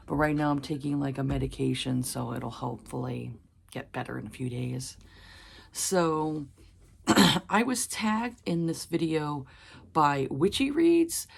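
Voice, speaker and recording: A woman in her thirties, hoarse from a cold, chatting casually about books with many fillers. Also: deep voice. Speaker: book vlogger. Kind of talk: casual chat.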